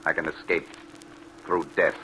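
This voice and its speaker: An actor playing a haunted, bitter husband with grave, dramatic delivery in an old-time radio drama. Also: male voice, very deep voice, flat delivery, unhurried, bright, forceful tone, slight background noise, exaggerated voice, rough recording. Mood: cold, bitter. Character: haunted, bitter husband recounting his troubled marriage.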